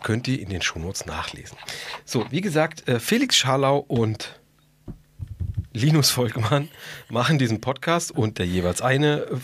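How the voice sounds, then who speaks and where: A man's voice: mid-range voice, big pitch swings, measured pace, breathy edge. A man hosting a podcast in casual chat, talking in a colloquial, blunt, joking way. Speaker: podcast host.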